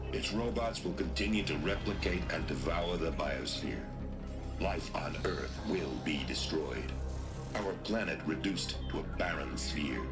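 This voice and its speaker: A grim military commander with an extremely deep voice. He speaks solemnly and deliberately, with weighty resolve, as if delivering a dark briefing in a game. Loud music plays underneath. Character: grave military commander confessing global doom, grim and resolute. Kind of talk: dramatic recorded briefing in a video game cutscene.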